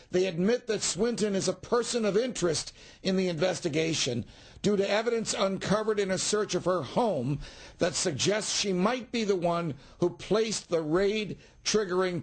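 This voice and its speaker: A woman news reporter reading a scripted report. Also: medium pitch.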